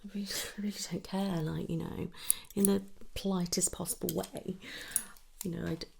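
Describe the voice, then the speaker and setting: A British woman doing a gentle, chatty ASMR hairdresser roleplay, speaking softly and reassuringly close to the mic, often slipping into a breathy whisper. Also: lowish voice, level tone, fairly quick. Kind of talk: ASMR roleplay, one-sided casual chat. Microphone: close ASMR microphone.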